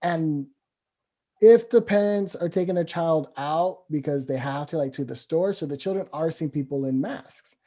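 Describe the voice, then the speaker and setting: A man who works as a professional home visitor, speaking in a steady, encouraging way and asking follow-up questions in a casual podcast chat. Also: on the high side, moderate pace.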